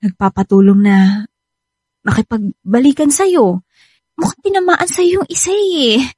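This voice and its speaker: An actress playing a frank, pushy young best friend with a Filipino accent in a radio drama. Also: female voice, high voice, lively intonation, measured pace, darkish tone, slightly rough, no echo, studio quality, exaggerated voice. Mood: breezy, teasing.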